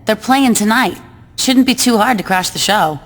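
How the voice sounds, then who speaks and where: An actress playing a laid-back, level-headed young gang lieutenant in a video game, talking in a casual, reasoning way. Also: female voice, a little high, lively intonation, moderate pace, fairly bright tone. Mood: calm, persuasive. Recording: long reverb, faint background noise.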